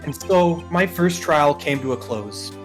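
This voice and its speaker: A man's voice: fairly high pitch, lively intonation, a little slow. A man voicing a reflective young rookie lawyer who narrates his story in a video game. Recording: game music behind.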